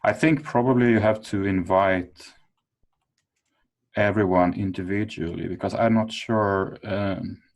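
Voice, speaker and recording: A man's voice: on the low side, steady tone, unhurried. A man chairing an informal work conference call, hesitantly thinking aloud. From Sweden. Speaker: meeting chair.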